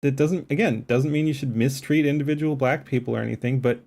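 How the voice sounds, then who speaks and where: A man's voice: mid-range voice, quick pace, vocal fry, darkish tone. Rambling, emphatic male streamer. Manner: rambling, emphatic, many fillers and restarts. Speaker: streamer reacting to a debate clip. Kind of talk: casual live-stream commentary.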